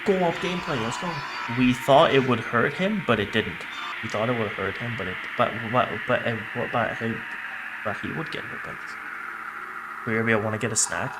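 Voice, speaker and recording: A man's voice: mid-range voice, normal speed, heavy background noise. A man doing a casual livestream reaction, reading captions aloud and commenting in a joking, irreverent, sweary way. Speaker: streamer reacting to horror videos.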